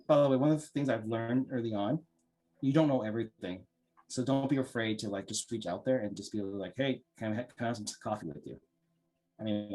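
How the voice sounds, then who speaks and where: A man's voice: medium pitch, dark tone. Encouraging man leading a group discussion. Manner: enthusiastic, encouraging, repeats words. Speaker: orientation facilitator.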